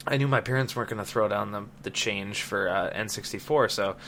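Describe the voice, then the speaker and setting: Male podcast host, late 20s, lowish bright voice, casual conversational delivery, slight background noise. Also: fairly quick. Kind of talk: casual chat about gaming.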